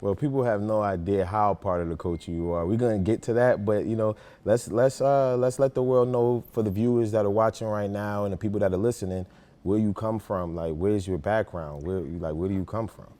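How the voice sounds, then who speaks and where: Deep-voiced enthusiastic podcast host. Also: male voice, fairly quick, warm tone. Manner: enthusiastic, hypes the guest to listeners. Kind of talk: casual interview hosting.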